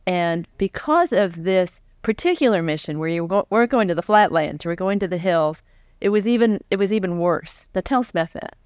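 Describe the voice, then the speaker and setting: A woman's voice: on the low side, lively intonation, a little fast, darkish tone, no echo, clean studio sound. An American woman in her forties interviewing a guest in a conversational style. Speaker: professional interviewer. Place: stage before a live audience. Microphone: close microphone on a stand.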